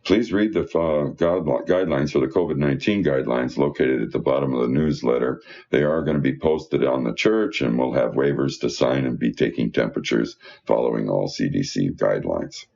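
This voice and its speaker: Very deep, gravelly man reading church news. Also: darkish tone, muffled recording. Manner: stumbles over words while reading. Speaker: church newsletter reader. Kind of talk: read-aloud church announcements and prayer.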